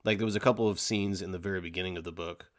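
A man with a fairly low voice talks very quickly as a podcast co-host. He chats casually and in an animated way, often correcting himself mid-thought. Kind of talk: casual chat reviewing an audio drama.

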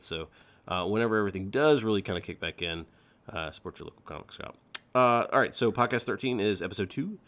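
A man hosting a fan podcast in casual chat, talking excitably and repeating words for emphasis. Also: fairly low pitch. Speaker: podcast host.

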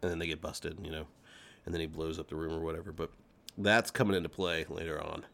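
A man co-hosting a podcast, chatting casually in an excitable, rambling way. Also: extremely low pitch, fast talker, creaky. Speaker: podcast co-host. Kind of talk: casual group chat.

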